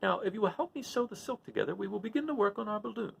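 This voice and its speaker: A man's voice: high voice, animated, racing, creaky, slightly rough, pitched above the performer's own voice, exaggerated voice. A man voicing a chatty little humbug wizard with a showman's grand, explanatory manner in a storybook reading. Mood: earnest, eager. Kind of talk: storybook read-aloud character voice.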